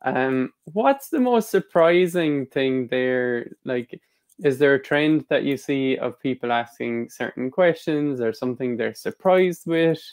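A man's voice: medium pitch, animated, unhurried, darkish tone. A man with an Irish accent hosting a podcast and asking easygoing questions over a video call. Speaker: podcast host. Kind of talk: casual podcast interview. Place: home room. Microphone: video call, earphones.

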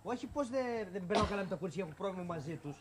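A man, a reality show contestant, raising a concern in a hesitant and measured way. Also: sits high, animated, a loud crowd. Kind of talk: group discussion.

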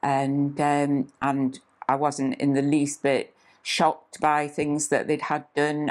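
A woman in her sixties, a clinic manager, talking calmly and steadily with frequent "uh" fillers, seated in a small clinic room. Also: very deep voice. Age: 60s. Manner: calm, measured, with frequent "uh" fillers. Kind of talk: scripted-feel promotional interview talk.

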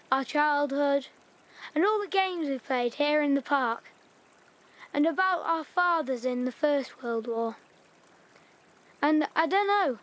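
A dreamy young wartime boy. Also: high-pitched. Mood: reflective. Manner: wondering, eager questions. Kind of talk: radio play acting.

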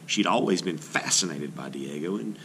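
A man reading a children's biography aloud like an art teacher, in an expressive storytelling way with sentences that trail off. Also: deep voice, normal speed, poor audio. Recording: noticeable background noise.

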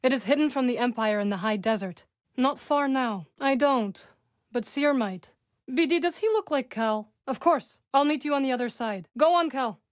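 A woman voicing a calm, dry-witted young witch companion in a video game, speaking in measured, formal lines recorded close on a studio mic. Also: sits high, no room sound.

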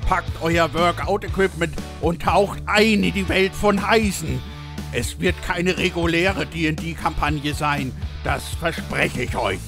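A man puts on a muscle-bound gym bro with a high, gravelly voice. He stretches his words out grandly as a theatrical hype man, with guitar music behind him. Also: slow pace. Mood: hyped, grandiose. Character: hyped muscle-bound male gym bro fitness influencer. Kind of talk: theatrical promotional intro.

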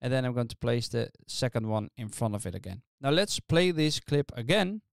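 A middle-aged man casually explaining software step by step as a tutorial host, speaking close into a studio microphone. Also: mid-range voice, expressive, normal speed, creaky, no echo. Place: small indoor studio, dry. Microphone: close studio microphone on a stand.

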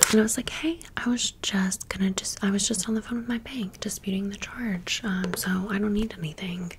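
An American woman hosting an ASMR storytime in a breathy whisper, with a casual, sassy, slangy way of talking. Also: medium pitch, heavy background noise. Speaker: ASMR video host.

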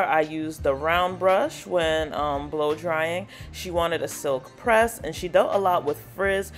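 A woman hairstylist talks viewers through her workday in a relaxed, chatty vlog voice that sits a little low. She explains each step as she goes, and faint music plays quietly underneath. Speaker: natural hairstylist. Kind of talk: casual vlog narration.

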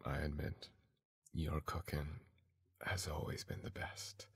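A man acting a gruff, guarded young bully softening toward his sweetheart, in an intimate, halting ASMR roleplay, often near a whisper. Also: very deep voice, level tone, heavily acted. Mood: reluctant, apologetic. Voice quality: husky, gravelly, breathy voice.

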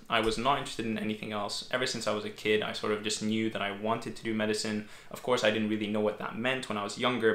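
Bright-voiced young man, echoey room. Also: on the low side. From Greece. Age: 20s. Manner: chatty, reads out viewer questions. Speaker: medical student YouTuber. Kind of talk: casual Q&A vlog. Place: small bedroom home office, indoors. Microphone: fixed camera at desk.

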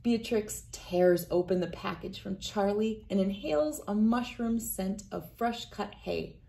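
A woman in her thirties reading a children's picture book aloud in a deliberate, expressive storytelling manner. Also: mid-range voice, vocal fry. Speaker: read-aloud host. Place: indoors, wood-panelled room with large windows.

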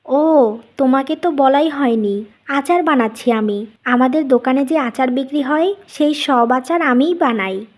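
A woman acts out a gentle-hearted young daughter-in-law for a cartoon tale. The voice is very high, and she comes across as kind and dutiful. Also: unhurried. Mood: friendly, obliging. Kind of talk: Bengali cartoon story dubbing.

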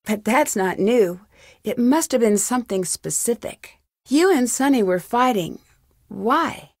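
A woman's voice: on the high side, no room sound, clean studio sound, full character voice. A woman playing an inquisitive, level-headed young female detective in a video game, with an earnest, probing way of asking questions. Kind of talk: video game voice acting, isolated dialogue lines.

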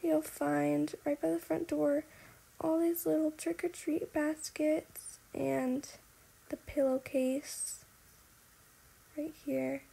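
A young woman narrates a casual house tour in a video game. Her voice is high with plenty of vocal fry. She talks in a chatty, apologetic, giggly way. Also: mellow, rough recording.

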